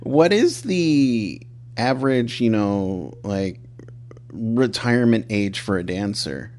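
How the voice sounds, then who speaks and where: A man's voice: medium pitch, animated, takes it slowly, a little mellow. Male podcast host, 30s, laid-back and self-mocking, loose conversational delivery. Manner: self-deprecating, rambling with restarts and laughs. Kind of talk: casual interview chat.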